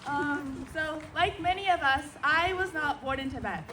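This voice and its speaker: A woman's voice: sits high, expressive, moderate pace. A woman giving an earnest prepared speech to a crowd at an outdoor park gathering. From Seattle, USA. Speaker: event speaker. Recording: distant, noisy outdoor recording.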